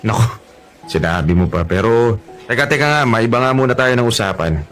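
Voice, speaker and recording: An actor with a Filipino accent playing a shy, flustered single dad in a radio drama, hesitant and apologetic. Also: male voice, medium pitch, lively intonation, brisk, a bit bright, soft background music, lightly acted. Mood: shy, earnest. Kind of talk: Tagalog radio drama acting.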